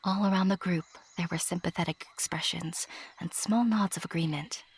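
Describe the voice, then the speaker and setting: A woman's voice: fairly low pitch, moderate pace, breathy edge. A woman narrator reading a scripted story for an audio drama.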